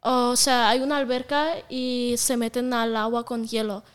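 A woman's voice: on the high side, level tone, unhurried, clear, resonant tone. A young woman from Russia, a native Russian speaker, chatting frankly as an interview guest with lots of fillers. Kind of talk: casual interview chat.

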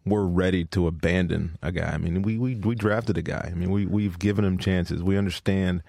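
Low gravelly man in his thirties. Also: steady tone, a little fast, mellow, no room sound, clean studio sound. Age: 30s. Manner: easygoing, laughs often, frequent restarts. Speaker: football player guest. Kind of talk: casual interview chat.

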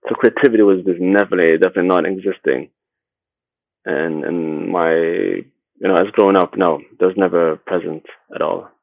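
A man from Sweden talking casually as an interview guest, haltingly, often repeating words and rephrasing as he goes. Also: low voice, steady tone, gravelly, no echo, narrow, tinny audio. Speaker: interview guest, agency founder.

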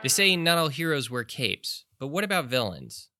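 An actor playing a thoughtful public radio documentary host, narrating in a measured, inquisitive storytelling style. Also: male voice, medium pitch, very animated, bright, forceful tone, no room sound, studio quality, soft background music, heavily acted. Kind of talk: scripted narration.

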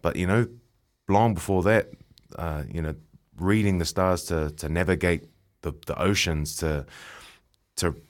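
A young New man, a professional rugby player, chatting as a radio guest in a halting way and repeating his words. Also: low voice, steady tone. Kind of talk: casual radio chat.

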